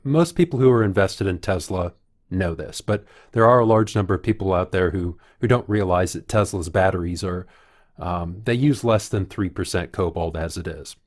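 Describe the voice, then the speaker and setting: A man with a fairly low voice gives analytical commentary. He speaks in a measured, explanatory manner, like a video commentator walking listeners through a report. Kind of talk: analytical commentary, reading out quotes.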